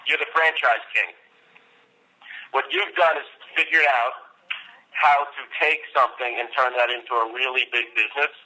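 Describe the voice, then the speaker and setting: Phone-line recording, man, piercing bright tone, medium pitch, business coaching, long-winded, emphatic, persuasive. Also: steady tone, normal speed. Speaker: media marketing consultant. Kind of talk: business coaching over a conference call. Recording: thin phone conference line.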